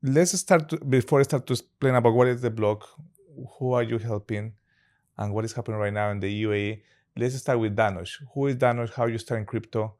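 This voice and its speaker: An upbeat man hosts a casual podcast chat in a medium voice, neither high nor low. His English is loosely phrased and now and then drops little words as he steers the talk with questions. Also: steady tone, fairly quick, darkish tone. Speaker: podcast host.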